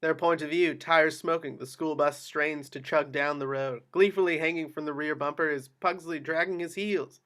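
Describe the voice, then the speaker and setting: Male stage-directions narrator, fairly high voice, scripted screenplay read. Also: steady tone, normal speed, few pauses, a bit bright, smooth.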